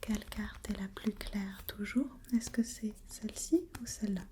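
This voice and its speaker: A woman whispering breathily in an ASMR role-play as an examiner, gently asking step-by-step questions and giving short encouragements. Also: medium pitch, steady tone, moderate pace.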